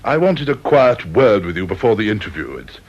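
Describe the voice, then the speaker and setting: A husky, swooping, breezy British gent. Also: male voice, on the low side, big pitch swings, normal speed. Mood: confiding, reassuring. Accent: British English. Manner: breezy, avuncular, chatty. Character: genial, chummy senior personnel chief, old-school gent. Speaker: actor in a radio play. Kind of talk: British radio drama acting. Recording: dull, lo-fi old radio recording.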